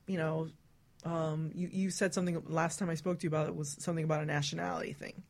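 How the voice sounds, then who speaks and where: A woman's voice: low voice, little pitch movement, fast, creaky, a little mellow. A woman hosting a casual, frank talk show, putting questions to the panel and steering the chat. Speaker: radio talk show host.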